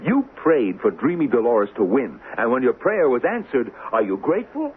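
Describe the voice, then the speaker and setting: An actor in a 1970s radio drama playing the Devil as a boastful, sly, theatrical hustler. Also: male voice, medium pitch, sing-song, normal speed, close to an everyday voice. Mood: grandiose. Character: the Devil as a smug, grandiose, wheedling male hustler. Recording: tinny, noisy 1970s radio broadcast.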